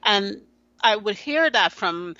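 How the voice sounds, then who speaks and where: Woman, from Guyana, podcast guest, earnest, halting, dry sound. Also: mid-range voice, lively intonation, sharp tone, dry recording, highs cut off. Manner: earnest, halting with many restarts. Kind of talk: conversational interview.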